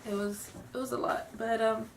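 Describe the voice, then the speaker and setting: A woman's voice: on the high side, level tone, normal speed, slight background noise. A young woman who sounds stuffy from a cold, chatting nervously and rambling in a casual vlog. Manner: nervous, rambling, apologetic, giggly. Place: room at home. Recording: roomy, distant home camera audio.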